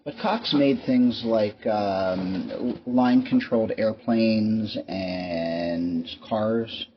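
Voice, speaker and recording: A man talks casually through a product on his video channel, in a rambling and resigned tone with dry humor. His voice sits a little low. The recording is dull, with noticeable noise behind him. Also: very slow. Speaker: YouTube host. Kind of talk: casual product show-and-tell vlog. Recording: muffled lo-fi mic with clear background noise.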